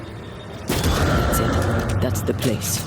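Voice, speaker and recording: A man's voice: medium pitch, monotone, very slow. An actor playing a grim male ghost hunter in a game, giving terse commands. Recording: game audio with loud music behind.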